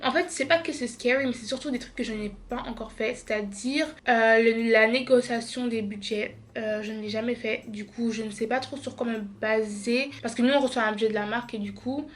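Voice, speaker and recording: A woman's voice: fairly high pitch, fairly even intonation, a little fast, few pauses. A young woman from Belgium vlogging casually at home, tired and chatty. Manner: chatty, tired, says genre a lot. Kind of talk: casual vlog chat.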